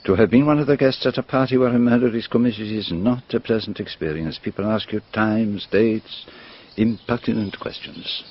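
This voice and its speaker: A man playing a courteous older gentleman detective in a radio drama, speaking formally and precisely with polite curiosity. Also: fairly low pitch, moderate pace, hardly any pauses, lightly acted. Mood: grave, measured. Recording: dull lo-fi radio drama, noisy.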